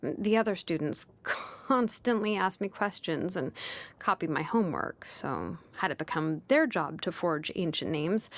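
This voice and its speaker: A woman voicing a laid-back, sleepy young female blacksmith and name engraver from a video game, languid and nonchalant with soft hesitations. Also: medium pitch. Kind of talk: video game character voice lines. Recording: music behind the voice. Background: music.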